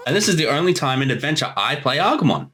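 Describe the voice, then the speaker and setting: Casual commentary from a voice actor, playful, medium pitch that goes up and down a lot. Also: big pitch swings, quick pace, a bit bright, faint music behind. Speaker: voice actor giving creator commentary.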